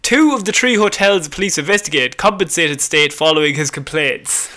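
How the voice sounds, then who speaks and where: A man's voice: fairly high pitch, expressive, brisk, bright, forceful tone. A man co-hosting a podcast, reading odd news and bantering in a jokey, sweary way that easily tips into laughter.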